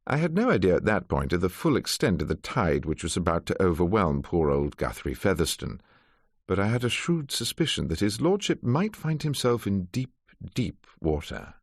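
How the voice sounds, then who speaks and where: An actor playing a wry, sharp-witted ageing English defence barrister in a radio drama, droll and courtly. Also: male voice, deep voice, big pitch swings, normal speed, heavily acted. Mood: wry narration. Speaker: lead character and narrator. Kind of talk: radio drama acting and narration.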